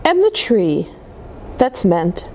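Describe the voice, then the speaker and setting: A woman voicing a small, gentle young tree in a children's storybook, telling its life in wistful, halting phrases. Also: mid-range voice, sing-song, noisy background.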